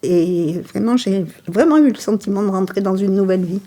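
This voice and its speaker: A woman in her eighties from France, giving an unhurried account of her life in an interview. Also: mid-range voice, mellow. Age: 80s. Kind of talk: personal testimony.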